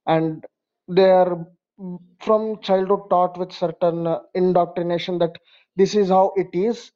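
Dark-toned Indian-accented man on lo-fi voicemail. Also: high voice, steady tone, unhurried. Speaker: caller leaving a voicemail. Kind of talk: personal message, measured commentary. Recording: dull lo-fi voicemail.

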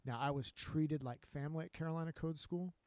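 A man, telling his story as an interview guest in an earnest, unhurried way. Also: fairly high pitch, darkish tone, no echo. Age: 50s. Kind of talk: casual interview chat.

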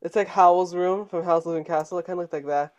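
A woman's voice: fairly low pitch, fairly even intonation, fast, darkish tone. Giggly, teasing young woman co-host. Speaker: podcast co-host. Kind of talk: casual chat.

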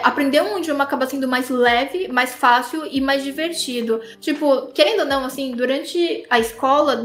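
A young woman from Korea, explaining how she teaches Portuguese to players in a relaxed interview, often laughing. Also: high voice. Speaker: language teacher for esports players. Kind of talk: casual interview answers. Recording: roomy echo.

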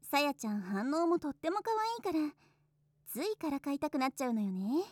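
Woman acting a coy, high-voiced big-sister hostess. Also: big pitch swings, fairly quick, no echo. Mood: teasing, doting. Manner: coy, gently teasing, soothing. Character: teasing, doting older-sister hostess, adult woman. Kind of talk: Japanese situation voice drama acting.

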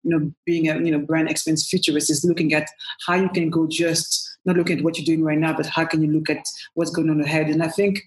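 A woman's voice: deep voice, level tone, fast talker, darkish tone, reverberant, rough recording. A woman with a French accent, a brand strategist guest, answering interview questions in a storytelling way with many "you know" fillers. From France.

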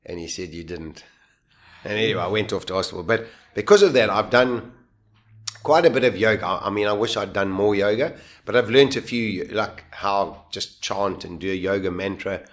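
Man, lowish voice, animated, restarts a lot, interview storytelling. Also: moderate pace. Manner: animated, restarts often, laughs at himself. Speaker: guest telling a survival story.

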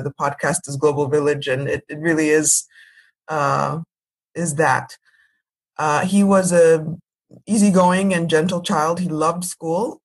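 A woman's voice: low voice, husky. A woman in her thirties from Ethiopia, telling her personal story as a podcast guest in a composed, articulate way.

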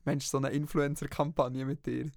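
A man's voice: medium pitch, little pitch movement, normal speed, creaky, darkish tone, dry recording, studio quality. A young man from Switzerland with a Swiss German accent, chatting casually and jokingly as a podcast co-host. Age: young adult. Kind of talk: casual chat.

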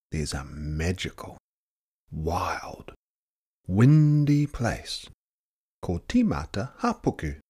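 A man reading a children's picture book expressively, dramatic, with hushed and drawn-out words. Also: medium pitch, husky. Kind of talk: expressive children's story narration.

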